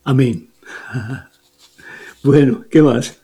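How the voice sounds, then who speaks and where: A man's voice: mid-range voice, extremely slow, warm tone, breathy edge. A man, a priest on a radio program, explaining church teaching in an emphatic, questioning way. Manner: explanatory, emphatic, asks listeners "¿sí?".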